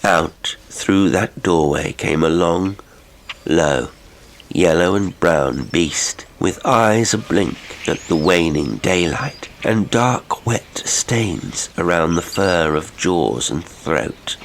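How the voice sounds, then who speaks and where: A man narrating a classic horror audiobook in a measured, literary, theatrical manner. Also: deep voice, expressive, creaky, slight background noise. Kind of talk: dramatic horror story narration. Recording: music behind the voice.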